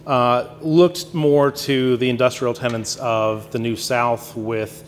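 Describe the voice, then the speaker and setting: Male conference speaker with a stuffed-up nose, casual and halting, lots of ums; long hall reverb and faint room hum. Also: mid-range voice, steady tone. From Virginia, Shenandoah Valley. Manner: conversational, hesitant with many fillers and restarts. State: stuffy nose from sinus infection. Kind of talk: lecture presentation.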